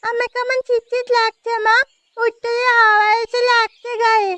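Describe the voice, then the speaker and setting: A woman's voice: very high-pitched, flat delivery, normal speed, a bit bright, no room sound. A woman voicing a curious young village girl in a cartoon fairy tale. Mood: curious, plaintive.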